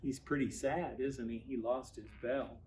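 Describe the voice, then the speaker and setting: A man, a pastor, reads a children's storybook aloud expressively, with dramatic pauses. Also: medium pitch, steady tone, a little mellow, slight background noise.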